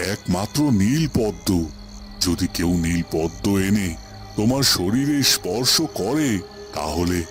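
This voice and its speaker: A man voicing a wise holy hermit in a cartoon fairy tale, solemn and deliberate as he advises. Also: on the low side.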